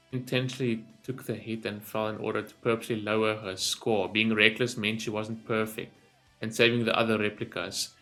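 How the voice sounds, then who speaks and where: A man comments on a game video in a casual, excited way, rambling and circling back on his thoughts. His voice sits at an ordinary pitch, with faint music underneath. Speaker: gaming video host.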